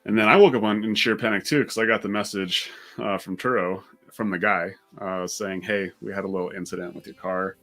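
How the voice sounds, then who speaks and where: A man's voice: lowish voice, fairly even intonation, fairly quick, hardly any pauses, fairly bright tone. A man chatting casually as a vlog host, telling a story in an informal way and repeating words as he works out his point.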